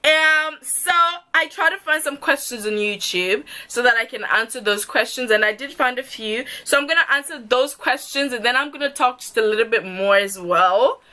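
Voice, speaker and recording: A woman's voice: on the high side, sharp tone. A young woman from Zimbabwe with a Zimbabwean accent, chatting playfully to her viewers in a vlog and laughing often. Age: young adult. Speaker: YouTuber. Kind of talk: get-to-know-me vlog.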